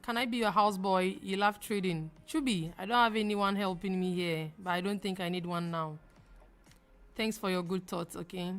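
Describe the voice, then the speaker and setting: A woman's voice: medium pitch, moderate pace, faint music behind. A young adult woman from Ghana with a Ghanaian accent, hosting a live trading stream and answering viewers in a chatty, emphatic way that repeats phrases and laughs easily. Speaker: livestream trading host.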